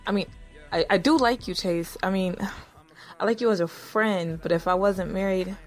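Audio drama lead: actress playing young married woman, conflicted and defensive, medium pitch. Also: normal speed, soft background music, close to an everyday voice. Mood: flustered. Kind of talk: audio drama acting with first-person narration.

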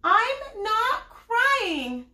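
A woman's voice: sits high, big pitch swings, takes it slowly, a bit bright, roomy sound, exaggerated voice. A woman voicing Pearl, a bossy, impatient young girl pig, in a whiny, exasperated voice for a children's storybook. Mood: upset.

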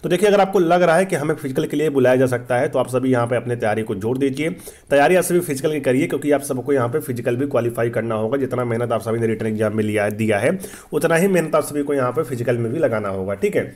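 Fast-talking man explaining. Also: medium pitch, darkish tone. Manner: repetitive, emphatic explaining with frequent check-in tags. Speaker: host of exam-update channel. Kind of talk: explainer addressed to students.

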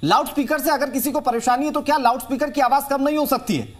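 A man's voice: very high voice, fast talker. A man anchoring a live TV news debate, pressing his questions insistently.

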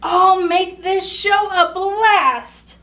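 A woman's voice: very high-pitched, fairly even intonation, extremely slow, fairly bright tone, distant mic, voice pushed up, exaggerated voice. A woman voicing an eager, cheerful trapezoid character from a picture book, keen and pleading to be included. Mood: eager, bold. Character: eager, cheerful trapezoid shape who wants in.

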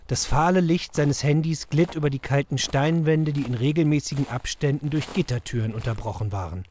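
A man's voice: on the high side, a little fast, darkish tone, a little hiss or hum. A man delivering scripted narration as the storyteller of a children's audio drama.

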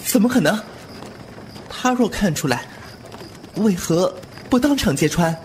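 一名男演员在武侠广播剧中饰演一位莽撞顽皮、言辞犀利的年轻侠客。. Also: male voice, high-pitched, lively intonation, a little slow, a little hiss or hum. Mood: agitated. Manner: impulsive, sharp-tongued, irreverent. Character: brash, cheeky young male martial-arts hero. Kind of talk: Chinese wuxia audio drama acting.

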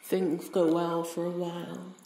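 A woman playing a bitter, heartbroken yandere with supernatural power in a dramatic audio roleplay, speaking in an emotional, halting way. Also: fairly low pitch, completely flat delivery, gravelly, mellow, far from the mic. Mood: sorrowful, wounded. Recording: roomy sound with faint noise.

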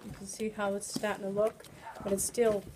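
A woman's voice: medium pitch, level tone, normal speed. A middle-aged woman with a New England accent, chatting through a hands-on bread-making demonstration in her home kitchen, talking while she kneads. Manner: chatty, coaxing, talks while kneading dough by hand. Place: home kitchen with children around. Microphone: phone camera held by another person. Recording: noisy home video.

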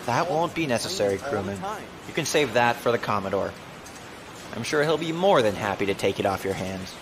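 Dutiful space-navy officer acted by a man, audio drama, official and military, lively intonation, noisy ambience behind. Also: fairly low pitch, a little fast, lightly acted. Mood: brisk authority. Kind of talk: science fiction audio drama acting. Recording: clear background noise and ambience.

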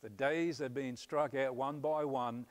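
An Australian man in his fifties speaks as a pastor, earnest and upbeat, often pausing on "uh". His voice sits at a middle pitch. He is recorded on a lapel mic while standing on a church stage. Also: steady tone. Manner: earnest, upbeat, many "uh" fillers. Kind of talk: church announcement video. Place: church stage before a curtain.

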